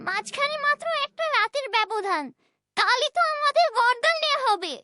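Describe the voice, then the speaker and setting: A woman's voice: extremely high pitch, lively intonation, a little fast, sharp tone, slight background noise, full character voice. A woman voicing a frightened young girl in a fairytale cartoon, anxious and pleading. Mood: worried.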